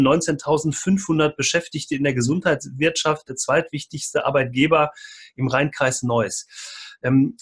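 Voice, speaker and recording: Man, podcast host, slightly higher pitch, husky voice, presenting and interviewing. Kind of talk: scripted podcast introduction and interview questions.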